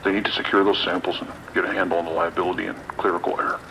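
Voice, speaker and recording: A man plays a skeptical, decisive police lieutenant in an audio drama. His deep voice moves quickly, authoritative and dismissive, with a gravelly grain. Faint rain and radio noise sit behind him. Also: little pitch movement.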